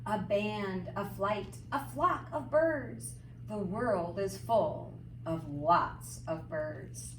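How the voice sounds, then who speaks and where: A woman reading a picture book aloud to young children like a teacher, with expressive, sing-song, emphatic phrasing and simple explanatory asides. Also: mid-range voice, very slow. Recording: roomy, distant mic, faint background noise.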